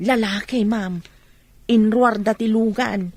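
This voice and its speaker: A woman's voice: a little high, steady tone, takes it slowly, a little mellow, dry recording. A woman playing a dutiful, respectful young village daughter in an Ilocano radio drama, with an Ilocano accent. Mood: deferential.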